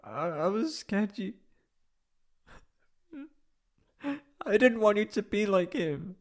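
A man voicing a wounded, anxious young catboy in an emotional ASMR roleplay, halting and stammering tearfully. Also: sits high, expressive. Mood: distraught, pleading.

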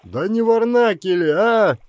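A man's voice: high-pitched, unhurried, dry recording, muffled recording, pitched above the performer's own voice. A man voicing an agitated, blustering bargeman who scolds and grumbles, in a theatrical audiobook style. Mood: frantic scolding. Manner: shouting, scolding, excitable. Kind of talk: theatrical audiobook character acting.

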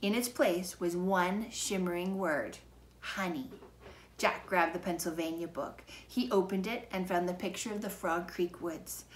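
Lower-pitched woman reading a storybook. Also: a little slow. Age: middle-aged. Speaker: storybook reader. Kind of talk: expressive children's book narration. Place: living room, indoors. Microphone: camera a few feet away. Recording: noisy living-room camera recording.

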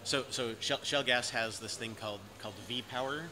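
An American man in his forties, easygoing and talkative, who repeats and restarts his words as he tells a story. His mid-pitched voice is caught by a camera in a cafe, with steady clear background noise. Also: normal speed. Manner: repetitive restarts, chatty and amused. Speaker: interviewer, host. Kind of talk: casual interview conversation. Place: cafe by large windows, indoors. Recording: noisy cafe ambience.

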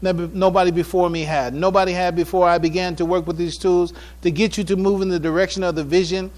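A man giving a religious public address, halting and repeating phrases for emphasis. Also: sits high, little pitch movement, a little mellow. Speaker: speaker addressing a mosque congregation.

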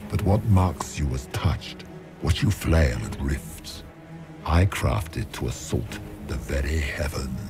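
A man's voice: extremely low pitch, lively intonation, slow pace, heavily acted. A man playing an ancient, monstrous would-be god, imperious and menacing, who declaims in pompous, archaic phrases for a fantasy game. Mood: cold menace. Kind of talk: dramatic fantasy game villain acting. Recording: loud dramatic music behind voice.

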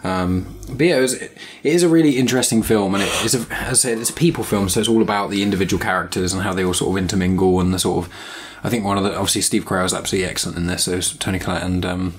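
A man's voice: sits low, rapid-fire, few pauses, slightly rough. A young British man hosting a podcast, chatting casually about films in a rambling way that trails off mid-thought. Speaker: podcast host. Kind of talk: casual film review chat.

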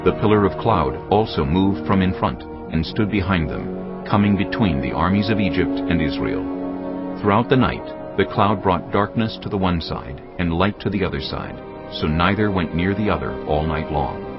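Brief: male narrator, very deep voice, grave and measured, loud score, muffled. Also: animated. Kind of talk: scripted Bible narration. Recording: dull sound under loud music.

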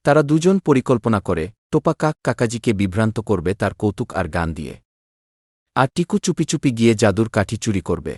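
A man's voice: lowish voice, sing-song, brisk, darkish tone, dry recording, soft background music. A man narrating a scripted children's story.

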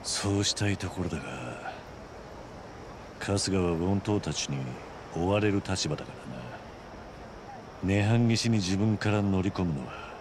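An actor playing a stoic, gruff middle-aged former yakuza in a game cutscene, speaking in measured, terse, authoritative lines. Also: male voice, sits low, background noise. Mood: grave, composed. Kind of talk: restrained Japanese game cutscene acting.

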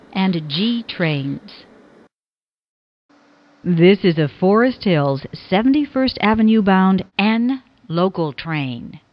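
Low-pitched woman making slow, lilting train announcements. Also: dull audio. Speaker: transit announcer. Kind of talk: scripted subway train announcement, pieced from clips.

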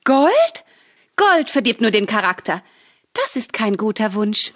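Children's radio play: dutiful little fairy, high-pitched, animated, prim and preachy with a touch of grievance. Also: female voice, measured pace, no echo, clean studio sound, exaggerated voice. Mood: moralizing. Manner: prim, moralizing, indignant. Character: prim, dutiful, aggrieved female good fairy.

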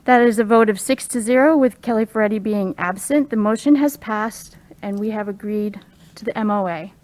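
A woman's voice: mid-range voice, moderate pace, a little mellow, rough recording. A woman chairing a committee meeting in formal, businesslike procedural style. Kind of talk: formal meeting procedure, roll call vote.

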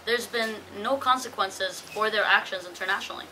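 A woman's voice: mid-range voice, a little fast, pushed and bright, slight background noise, poor audio. A young woman in her twenties speaking firmly and fluently as a panelist in a conference room. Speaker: activist panelist. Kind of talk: panel discussion remarks. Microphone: table mic.

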